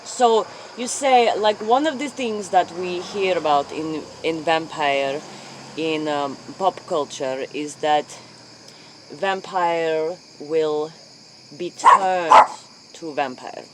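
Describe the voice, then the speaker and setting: Lady doing a slow formal interviewer, halting and repetitive, bit of night noise around. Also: sits low, low-quality recording. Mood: earnest. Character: solemn Eastern European female late-night interviewer. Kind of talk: improvised spoof interview in character.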